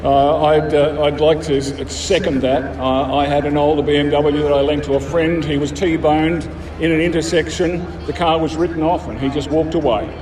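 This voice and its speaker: An Australian man from the country speaks as an enthusiastic club member, often pausing to find his words. His voice sits a little high and carries from a distance, with echo, over an outdoor PA. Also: level tone. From rural Australia. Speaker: car club member being interviewed. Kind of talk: casual interview. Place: outdoors at a motor show. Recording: echoey outdoor PA, distant, crowd chatter. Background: crowd.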